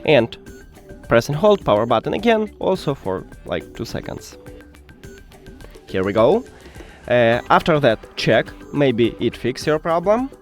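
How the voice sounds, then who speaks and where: Man with lively, swooping pitch. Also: unhurried, pauses often, faint music behind. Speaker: tech tutorial host. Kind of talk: step-by-step instructional narration.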